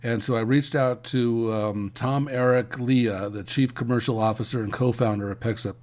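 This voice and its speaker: A man co-hosting an industry news podcast, giving conversational commentary in a halting way, often restarting his phrases. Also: medium pitch, steady tone, a little mellow.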